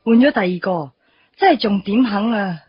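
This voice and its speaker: A woman's voice: medium pitch, expressive, moderate pace, breathy edge, dry recording, muffled recording. An actress playing an outspoken, playful young woman in a Cantonese radio drama, chatty and chiding, with a Hong Kong accent. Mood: soft, wistful.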